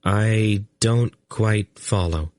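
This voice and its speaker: A man's voice: on the low side, extremely slow, darkish tone, pitched above the performer's own voice. A man voicing a dry, understated man.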